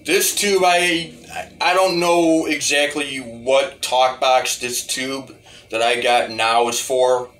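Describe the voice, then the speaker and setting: A man giving a casual, rambling demo of music gear, halting and repeating his phrases as he goes. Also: medium pitch, steady tone, fairly bright tone. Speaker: hobbyist musician reviewing talk box gear.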